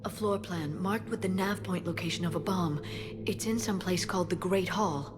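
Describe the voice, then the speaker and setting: A woman playing an earnest alien scholar who explains gravely and precisely in a video game. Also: on the low side, normal speed, natural voice. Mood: grave, explaining. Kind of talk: video game dramatic exposition. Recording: noticeable ambient noise.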